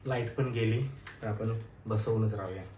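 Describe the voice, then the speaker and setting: A man's voice: fairly low pitch, steady tone, moderate pace. A man vlogging casually, thinking aloud informally as he works through a hands-on installation. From Maharashtra, India. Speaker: motovlogger. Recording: roomy, noisy, distant microphone.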